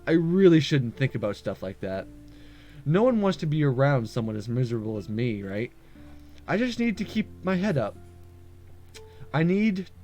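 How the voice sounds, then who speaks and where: A fairly high-voiced man reads a visual novel aloud for a gaming video. His delivery swings up and down a lot, with casual asides and small stumbles, and soft music plays behind him. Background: game background music.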